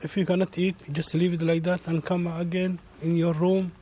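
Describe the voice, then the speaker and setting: Higher-pitched man talking casually. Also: flat delivery, normal speed, mellow. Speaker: asylum camp resident. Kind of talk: casual spoken testimony outdoors. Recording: faint noise, clicks, rustling.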